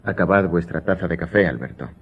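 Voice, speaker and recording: An actor playing a composed, authoritative gentleman master in a radio drama. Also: low voice, fast talker, slightly rough, phone-quality sound. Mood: calm, final.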